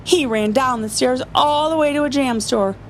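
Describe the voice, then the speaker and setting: A woman reading a children's story aloud to a group, with expressive, emphatic delivery. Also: high-pitched, normal speed, a little hiss or hum.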